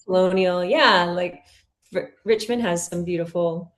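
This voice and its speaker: A woman from New Jersey giving a casual home tour and interview, chatty and halting, laughing easily. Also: on the low side. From New Jersey, USA. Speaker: interview guest and expat homeowner.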